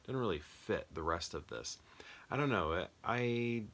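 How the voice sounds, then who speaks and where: A man giving a casual, off-the-cuff film review, rambling and often pausing to search for words. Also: fairly low pitch, lively intonation, a bit bright.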